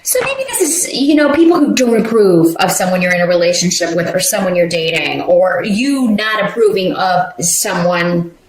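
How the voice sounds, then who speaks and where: A woman's voice: lowish voice, animated, moderate pace, few pauses, reverberant, a little hiss or hum, low-quality recording. A woman giving a casual tarot reading, rambling with many fillers and restarts. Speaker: tarot reader.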